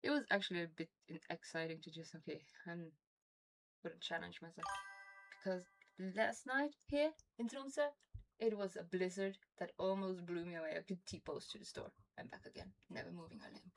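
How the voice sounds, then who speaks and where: A woman's voice: on the low side, animated, moderate pace, gravelly, soft background music. A woman from Norway with a Norwegian accent, chatting casually on a game stream with a toothache, rambling and laughing often. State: has a toothache.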